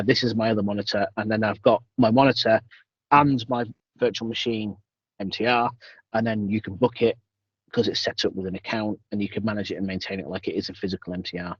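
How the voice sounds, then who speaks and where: A man's voice: fairly low pitch, husky, no echo. A man answering audience questions at an online meetup, thinking aloud with lots of fillers. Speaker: guest speaker. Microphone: video call. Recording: dull, dry video call audio.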